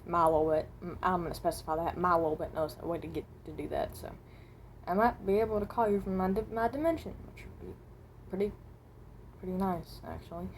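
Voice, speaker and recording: A woman voicing a weary, self-loathing animatronic rabbit, halting and often trailing off, in an amateur improvised monologue. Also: lowish voice, steady tone, slight background noise, lightly acted. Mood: hesitant, awkward.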